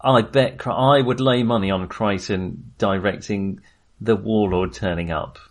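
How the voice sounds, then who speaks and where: A man's voice: on the low side, measured pace. A British man co-hosting a film podcast, chatting casually and expressively, often acting out imagined lines. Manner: expressive, acts out imagined quotes.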